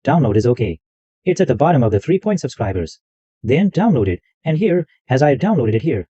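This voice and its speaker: A man hosting a tech tutorial, walking through software step by step and repeating himself as he goes. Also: mid-range voice, sing-song, brisk, slightly rough, dry recording, highs cut off. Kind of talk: screen-recorded software walkthrough.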